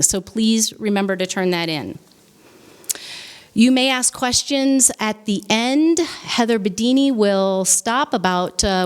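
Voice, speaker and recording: A woman hosting a live training event, welcoming the audience and reading a speaker's biography aloud in an orderly way. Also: on the high side. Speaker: event host introducing speaker. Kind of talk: formal introduction at a training event. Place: conference room with live audience.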